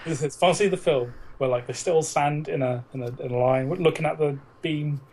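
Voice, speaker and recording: A man's voice: fairly high pitch, a little fast, darkish tone, a little hiss or hum. A man co-hosting a podcast with casual, joking, argumentative banter about films and plenty of swearing. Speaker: podcast co-host.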